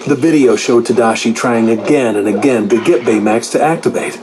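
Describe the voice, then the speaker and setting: A man narrating a scripted children's storybook in a dramatic, clearly enunciated storytelling manner. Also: mid-range voice, fairly quick. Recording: loud music behind the narration.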